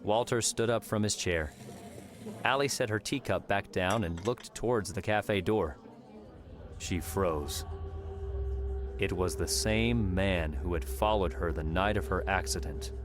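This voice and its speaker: A man's voice: low voice, measured pace. A man reading scripted story narration as an audiobook narrator. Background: music.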